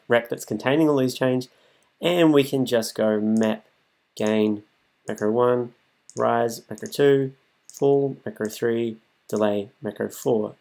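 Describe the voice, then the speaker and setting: Gravelly, mellow man giving a software walkthrough. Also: medium pitch. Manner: informal, thinking aloud as he demonstrates. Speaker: music production tutorial presenter. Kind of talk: casual, improvised software walkthrough. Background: music loops from the demonstrated software.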